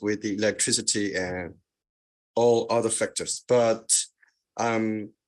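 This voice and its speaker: Slow, hesitant man. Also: on the low side. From Myanmar. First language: Karen. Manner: hesitant, many fillers and restarts. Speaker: guest speaker. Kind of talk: webinar presentation. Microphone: video call.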